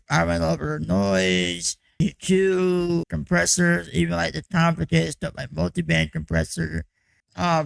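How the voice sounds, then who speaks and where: A young man with a hoarse, croaky voice that is heavily gravelly and pitched fairly high for a man. He talks in a loose, rambling way, leaning on "like" and "you know" as he checks in with his viewers on a music production course. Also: fairly bright tone. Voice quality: raspy, croaky. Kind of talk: casual vlog check-in.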